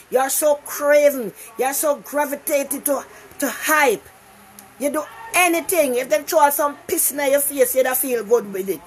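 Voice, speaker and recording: A woman's voice: high voice, a little hiss or hum, poor audio. An older Jamaican woman hosting a casual live-stream talk show, chatting animatedly with viewers from her living room and repeating herself for emphasis. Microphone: phone camera close up.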